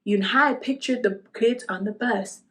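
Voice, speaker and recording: A woman narrating a children's storybook aloud in an expressive style. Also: fairly high pitch, measured pace. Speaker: storybook reader.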